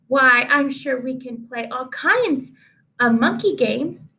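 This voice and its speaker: A woman's voice: high voice, expressive, slow pace, sharp tone, full character voice. A woman voicing a nice but flustered schoolteacher in a storybook, exclaiming emphatically. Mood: apologetic, sweet.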